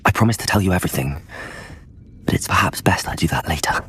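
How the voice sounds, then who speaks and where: An actor playing an earnest young wizard student with a breathy voice, polite and sincere, in a fantasy game. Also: male voice, sits low, quick pace, a bit bright, whispered, exaggerated voice. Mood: polite, reserved.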